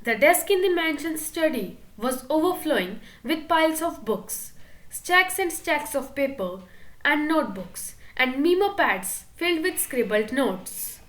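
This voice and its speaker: A woman reading a children's book aloud in an expressive, playful storytelling manner. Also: high voice, unhurried, echoey room, low-quality recording. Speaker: reader.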